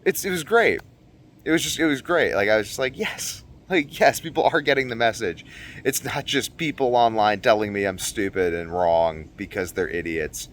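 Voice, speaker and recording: A man's voice: mid-range voice, normal speed, a bit bright. A man talking casually and unscripted as a video blogger, earnest and rambling, often repeating phrases for emphasis. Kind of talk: casual unscripted monologue to viewers.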